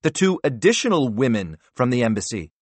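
A man's voice: mid-range voice, sing-song, a little fast, no echo, voice pushed up. A man voicing a weary spy agency director in his late fifties who picks his words carefully. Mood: guarded. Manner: careful, restrained, frustrated.

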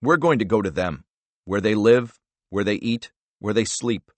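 A man's voice: lowish voice, moderate pace, no room sound, close to an everyday voice. A man voicing a resolute president who speaks in firm, declarative statements.

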